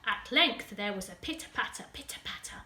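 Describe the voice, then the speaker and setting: High, animated English woman reading a storybook. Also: fairly quick. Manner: animated, dramatic emphasis for young listeners. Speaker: school teaching assistant reading a story. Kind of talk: expressive children's storybook narration. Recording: roomy echo, distant microphone.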